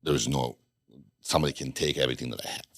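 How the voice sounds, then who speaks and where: A man's voice: rumbling low, fast talker, vocal fry, a bit bright, no echo. A middle-aged man from Bosnia, a guest telling his story in a casual interview, rambling and restarting sentences with dry jokes. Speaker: podcast guest, former undercover FBI agent.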